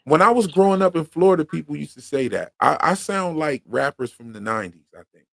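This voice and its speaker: A man's voice: a little high, expressive, fairly quick, vocal fry, a bit bright, no echo. A man from Pennsylvania with a Philadelphia accent, hosting a casual livestream chat in a slangy, laid-back way full of fillers. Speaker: podcast host.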